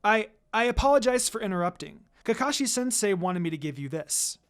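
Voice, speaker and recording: A narrator as a young woman ninja student, polite, deferential, curious, lively intonation, story dialogue. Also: mid-range voice, fairly quick, lightly acted. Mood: hesitant, curious.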